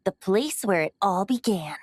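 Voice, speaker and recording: Woman as a poised, lively young game heroine. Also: mid-range voice, moderate pace, very noisy, lightly acted. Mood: welcoming. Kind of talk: anime-style game voice acting.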